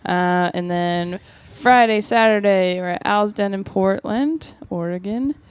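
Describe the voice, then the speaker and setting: A woman's voice: mid-range voice, unhurried, no room sound. A woman co-hosting a comedy podcast in casual chat. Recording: clearly noisy, with clicks.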